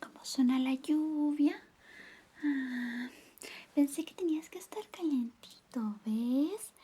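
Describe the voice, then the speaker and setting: Woman acting out high, whispery, flirty best friend. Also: takes it slowly. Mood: tender, playful. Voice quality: soft, breathy whispering mixed with soft voice. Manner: coaxing, intimate, lingering. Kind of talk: Spanish ASMR roleplay, whispered personal attention.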